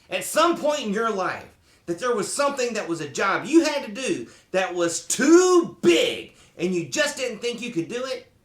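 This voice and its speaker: A performer voicing a cheerful folksy mule who reads a children's storybook aloud in an animated, sing-song way. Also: medium pitch.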